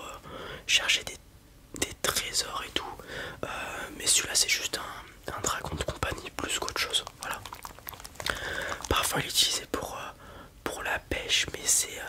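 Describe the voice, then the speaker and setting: A man in an ASMR roleplay, whispering breathily as he casually and hesitantly explains things in the role of a breeder. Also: bright, forceful tone.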